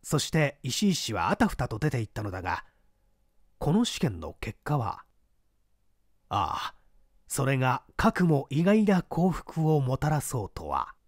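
A man reading a classic mystery story aloud as narrator, measured with dramatic asides. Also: medium pitch, big pitch swings, no echo, clean studio sound. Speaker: reader.

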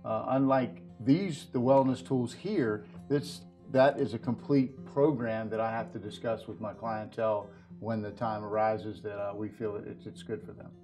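A man's voice: lowish voice, steady tone, moderate pace, few pauses, a little mellow. Earnest middle-aged man over light guitar music. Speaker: professional farrier, interview guest. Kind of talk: explanatory interview talk about horse nutrition products. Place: indoor video set at a display table.

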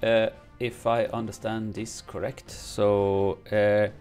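A man who is not a native English speaker, reading game patch notes aloud and commenting on them from his home streaming room, halting with many fillers and restarts. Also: on the low side, level tone, a little mellow, faint music behind. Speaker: gaming streamer.

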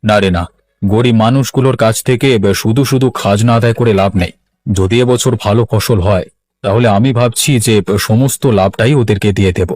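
A man's voice: lowish voice, moderate pace, darkish tone, close to an everyday voice. A man voicing a kindly, weary old village landlord in a cartoon. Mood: trusting, resigned. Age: older. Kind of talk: Bangla cartoon voice acting.

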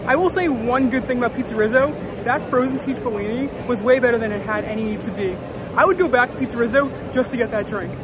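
A man's voice: very high voice, quick pace, crowd noise, background noise. A young man in a casual food-review vlog, chatty and frank, often saying "like" and "literally", filmed outdoors at a theme park. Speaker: vlogger.

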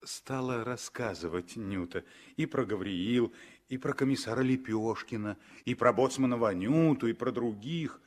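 A man narrating a 1960s radio drama with dramatic, deliberately phrased storytelling. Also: mid-range voice, darkish tone.

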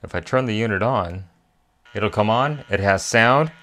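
A man's voice: on the low side, moderate pace, fairly bright tone. A man hosting a hands-on repair tutorial, talking methodically step by step and thinking aloud as he works. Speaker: repair channel host. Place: indoor electronics workbench.

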